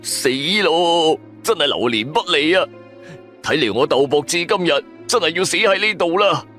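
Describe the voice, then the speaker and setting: A man with a Cantonese accent voicing a crude, reckless and excitable male sidekick in an audio drama, whining and cheeky. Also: fairly high pitch, normal speed, soft background music. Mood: despairing, defiant.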